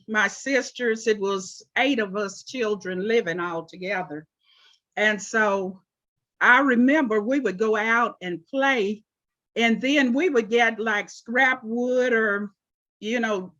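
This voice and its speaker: A woman in her seventies, originally from Oklahoma, answering interview questions about her life in an unhurried way with frequent pauses. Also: fairly high pitch, a bit bright, muffled recording. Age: 70s. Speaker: interview guest, artist.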